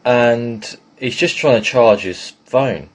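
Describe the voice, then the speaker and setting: A man's voice: medium pitch, slow, breathy edge. A man casually telling a comic story in an amused, rambling manner.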